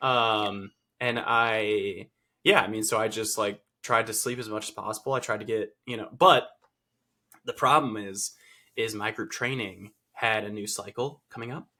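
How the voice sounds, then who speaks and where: A man's voice: fairly low pitch, fairly even intonation, normal speed, pushed and bright. A man co-hosting a fitness podcast, stuffy and nasal from a cold, chatting casually with lots of fillers and restarts. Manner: rambling, filler-heavy, self-correcting. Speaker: podcast co-host, fitness coach. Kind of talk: casual chat.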